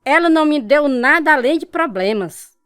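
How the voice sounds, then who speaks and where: Casting: scornful, unforgiving Brazilian mother, high-pitched scolding voice, close dry radio drama sound. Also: animated, normal speed, fairly bright tone, no room sound. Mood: bitter accusing. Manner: scolding, cutting. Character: harsh, bitter mother, spiritist, scornful and unforgiving.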